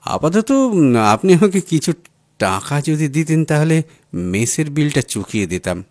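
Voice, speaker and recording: A man acts out a down-at-heel male writer out of work, talkative and apologetic, in an audiobook story. The pitch swings in a plaintive, familiar way, and the sound is dry. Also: sing-song, unhurried, darkish tone, no echo. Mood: embarrassed, pleading. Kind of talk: audiobook character dialogue.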